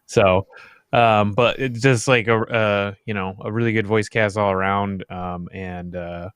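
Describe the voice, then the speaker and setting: A man around his thirties chatting casually in a hesitant, halting way. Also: fairly low pitch, steady tone, fairly bright tone, clear, resonant tone, dry recording, clean studio sound. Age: 30s. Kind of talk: casual chat.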